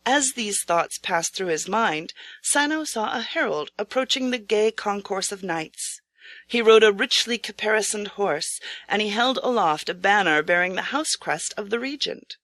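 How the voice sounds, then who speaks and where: A woman reading a scripted storybook tale as narrator, in a measured, formal, dramatic manner. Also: mid-range voice, lively intonation, a bit bright, no echo. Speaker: audiobook narrator.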